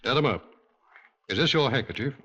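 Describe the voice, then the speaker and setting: A man's voice: medium pitch, expressive, brisk, bright, forceful tone, husky. A man playing a polite, direct police investigator in a 1950s radio drama. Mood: polite, pressing. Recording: dull 1950s radio recording.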